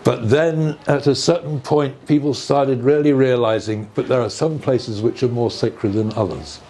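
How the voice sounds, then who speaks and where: An older man giving an informal, measured explanation in conversation, often checking with rhetorical questions. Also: mid-range voice, fairly even intonation, dark tone. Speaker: speaker on earth energies and ancient sites. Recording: noticeable background noise.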